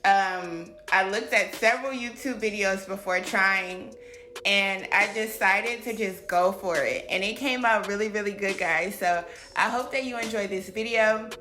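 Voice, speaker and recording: A woman's voice: mid-range voice, a little slow, pushed and bright. Chatty woman, roomy echo. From United States. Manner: chatty and upbeat, with frequent fillers and laughs. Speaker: cooking vlogger. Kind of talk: casual vlog narration. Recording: roomy echo with background music.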